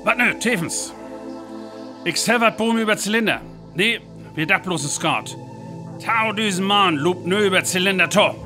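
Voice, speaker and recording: Man acting a higher-voiced army captain. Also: lively intonation, measured pace, pauses often, over music. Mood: alarmed, urgent. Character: army captain, confident field commander reporting live, growing alarmed. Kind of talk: radio drama acting.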